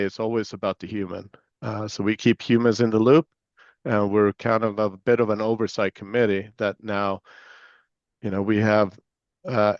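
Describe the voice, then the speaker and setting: A man from Sweden with a Swedish accent blended with American Southern tones, answering interview questions in a relaxed, filler-filled way. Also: on the low side, no echo, muffled recording. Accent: Swedish mixed with American Southern. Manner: relaxed, many fillers and restarts. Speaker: guest, security executive.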